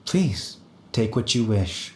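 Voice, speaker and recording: A man playing a gentle, solemn, ancient warrior's ghost in a video game, speaking formally and wistfully. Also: lowish voice, very animated, slow pace, slight background noise. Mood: gentle, resigned. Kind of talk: video game character acting. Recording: very echoey game audio, faint music.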